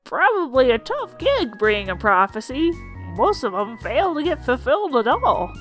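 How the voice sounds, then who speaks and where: A woman's voice: a little high, fairly bright tone. A woman voicing a folksy, wry old monster schoolteacher in a fan comic dub, explaining things in colloquial speech. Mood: earnest, folksy. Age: older. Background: music.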